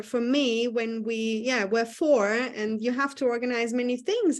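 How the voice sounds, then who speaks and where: Woman, somewhat high voice. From Lower Austria. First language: German. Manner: warm, talkative, self-correcting. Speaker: co-host. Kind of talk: casual self-introduction on a video call. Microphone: video call.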